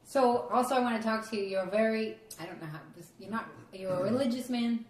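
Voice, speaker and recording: A woman with a Boston accent hosting a podcast interview in casual chat, laughing often and talking in quick run-on bursts. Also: fairly high pitch, roomy sound, a little hiss or hum, poor audio. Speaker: podcast host.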